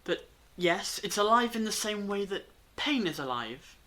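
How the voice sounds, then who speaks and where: A woman playing a brainy time-travelling alien in a fan audio drama, lecturing gravely in deliberate monologues with dramatic pauses. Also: mid-range voice, big pitch swings, fairly bright tone. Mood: explaining intently.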